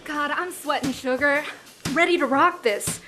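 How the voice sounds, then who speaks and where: A woman's voice: high voice, a little slow, over music. A woman playing a hot-tempered, tomboyish woman in a 1950s radio drama, slangy and combative. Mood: anxious.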